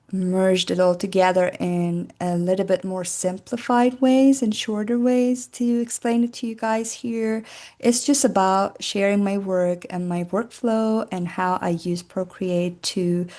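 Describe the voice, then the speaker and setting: A woman in her forties from Iran, with an Iranian accent, giving an informal talk about her art process. She speaks in a friendly, unhurried way and often pauses with fillers. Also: medium pitch, dark tone. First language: Persian. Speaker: artist presenting her work.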